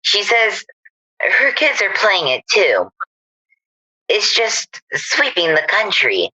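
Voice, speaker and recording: A woman's voice: mid-range voice, big pitch swings, slow pace, pushed and bright, breathy edge, no room sound, full character voice, poor audio. A woman playing a breezy, gossipy housewife chatting with a friend in a radio play. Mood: breezy, chatty.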